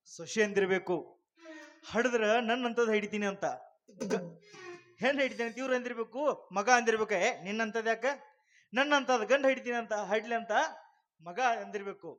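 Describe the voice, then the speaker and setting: Man doing a bossy village dad, scolding, high voice, bit of music. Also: normal speed, pauses often, fairly bright tone. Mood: grumbling. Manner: scolding, insistent. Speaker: father.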